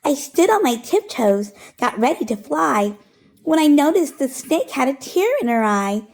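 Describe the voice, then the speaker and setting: Woman, storytime host, higher-pitched, expressive read-aloud, rhythmic rhymed delivery. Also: a little slow. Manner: rhythmic, sing-song storytelling of rhymed verse. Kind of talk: expressive children's picture book read-aloud.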